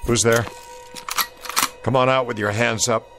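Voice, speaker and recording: An actor playing a stern, resolute Confederate cavalry soldier in a radio drama, with a folksy, commanding Southern US accent. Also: male voice, medium pitch, steady tone, a little slow, over music, close to an everyday voice. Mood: threatening.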